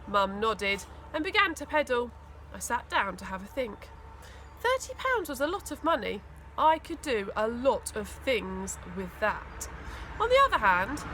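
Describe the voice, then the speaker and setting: A woman reading a children's story aloud in an expressive, dramatic way. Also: fairly high pitch, slight background noise. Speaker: school teaching assistant reading aloud.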